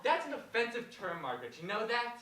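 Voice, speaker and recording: A performer voicing a young boy who misses his dad, with a soft, whiny childlike voice and a plaintive, sulky way of talking. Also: medium pitch, big pitch swings, fairly quick, crowd noise, lightly acted. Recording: roomy echo, distant mic.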